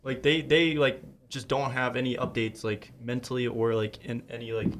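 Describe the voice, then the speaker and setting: A man's voice: medium pitch, little pitch movement, normal speed, fairly bright tone, slight background noise. A young American man chatting casually in blunt, slangy bro talk. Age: young adult. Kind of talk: casual chat.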